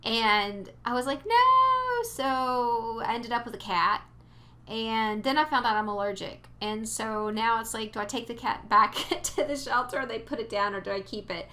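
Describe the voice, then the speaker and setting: Chatty, bright-voiced woman tutor. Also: fairly high pitch, animated, moderate pace. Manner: chatty, rambling, with fillers and cute exclamations. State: sniffly from allergies. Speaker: 3D modeling tutor. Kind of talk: casual screen-recorded tutorial.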